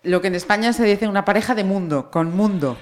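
A woman's voice: medium pitch, brisk. A woman hosting a radio interview, prompting her guest and supplying words he is looking for. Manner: supportive, finishes the guest's sentences. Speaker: radio host.

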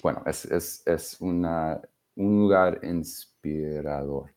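Slow, halting man with a deep voice. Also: darkish tone. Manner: halting, searching for words, many fillers. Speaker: singer-songwriter guest. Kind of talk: interview answers.

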